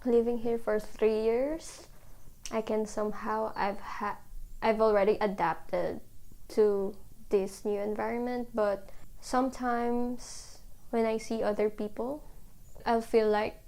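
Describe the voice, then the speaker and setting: Soft-spoken young woman, slightly gravelly. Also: fairly high pitch, fairly even intonation, slow pace. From Philippines. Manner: soft-spoken, hesitant, many "like" fillers. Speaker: documentary interviewee. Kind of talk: reflective personal interview.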